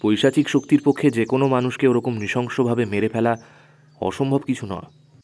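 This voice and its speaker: A man's voice: mid-range voice, moderate pace, mellow, close to an everyday voice. A man playing a sensible, firm young investigator with a questioning manner in an audio horror story. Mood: measured, reassuring. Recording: music under the voice.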